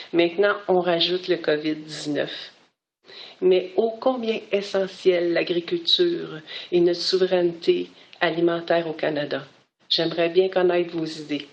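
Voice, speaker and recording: Woman on a dull lo-fi clip. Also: on the low side. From Quebec. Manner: earnest, read-out question. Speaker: citizen asking a question. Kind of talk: recorded video question. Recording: dull lo-fi video message.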